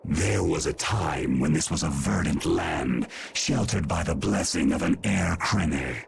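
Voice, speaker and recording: Very deep, gravelly ancient monster guardian. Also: male voice, lively intonation, a little hiss or hum, exaggerated voice. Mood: grave, stern. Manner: slow, solemn, grandiose. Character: ancient, imperious giant monster guardian, stern and merciless. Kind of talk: dubbed fantasy game voice acting.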